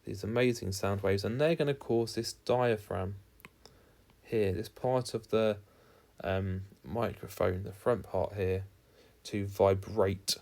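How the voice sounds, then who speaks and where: A man's voice: on the low side, slow, gravelly, a little mellow. A man giving an explanatory lesson as a teacher, talking informally and stressing key words. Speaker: physics teacher.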